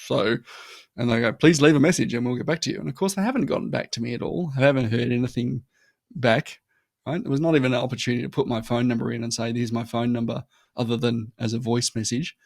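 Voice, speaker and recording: Gravelly Australian man, chatty podcast guest. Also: medium pitch, fast, no echo, studio quality. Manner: animated storytelling, acts out customer voices. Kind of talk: conversational interview.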